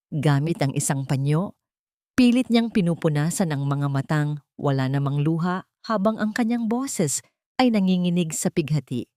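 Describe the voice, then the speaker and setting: A woman's voice: deep voice, dark tone, dry recording, clean studio sound. A woman narrating a scripted audio drama in a solemn, literary way.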